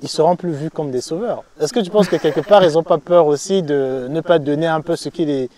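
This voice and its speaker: A man's voice: fairly high pitch, fairly quick, warm tone. A man working as an interviewer, asking long, meandering questions in a casual, conversational way.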